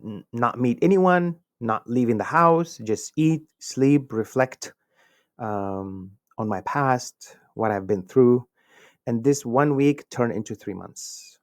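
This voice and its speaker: A man in his forties from Egypt, a podcast guest telling a personal story in an animated way, chuckling often. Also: medium pitch, takes it slowly, dry recording, studio quality. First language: Egyptian Arabic. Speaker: podcast guest, communication researcher. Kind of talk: conversational storytelling.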